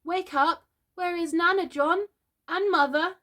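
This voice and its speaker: A woman's voice: very high-pitched, a little slow, bright, forceful tone, pitched above the performer's own voice, heavily acted. A woman voicing a small, plaintive younger brother in a storybook, childlike and pleading. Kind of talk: storybook character voice.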